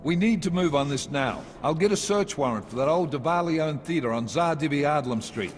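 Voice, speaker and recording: An actor playing a blunt, exasperated police unit commander in a video game, with a stern manner. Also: male voice, on the high side, expressive, brisk, big-room sound, natural voice. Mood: urgent. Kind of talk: cinematic video game acting. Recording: game soundtrack music behind.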